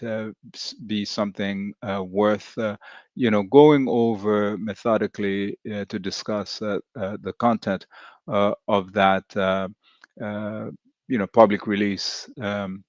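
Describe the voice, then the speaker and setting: A man from Haiti, hosting a commentary program in a halting, measured way with frequent hesitations. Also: lowish voice, flat delivery, no room sound. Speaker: host. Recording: dull lo-fi dry recording.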